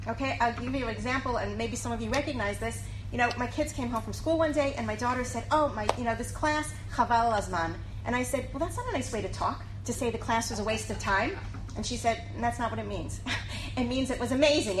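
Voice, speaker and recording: A woman's voice: on the high side, a little hiss or hum, poor audio. A middle-aged woman speaking at a panel, talking conversationally and often checking in with listeners by asking "okay?". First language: English. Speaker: workshop speaker on matchmaking. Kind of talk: panel talk to an audience. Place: living in Israel.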